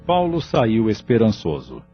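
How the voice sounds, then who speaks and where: A man's voice: on the low side, wildly swooping pitch, a little slow, husky. A man reading scripted narration for an audio novel as its narrator. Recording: muffled lo-fi audio, background music.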